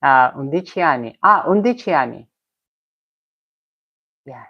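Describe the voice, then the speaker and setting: A woman's voice: rumbling low, expressive, unhurried. Russian-born woman chatting with her stream. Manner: reads out viewer comments and answers them. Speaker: live streamer. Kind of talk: casual live-stream chat. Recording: slightly dull lo-fi stream audio.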